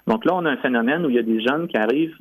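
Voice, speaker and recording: A man with a Quebec French accent giving measured, step-by-step explanations as a guest in a radio interview. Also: medium pitch, husky, no echo, rough recording. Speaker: guest, French-language commissioner.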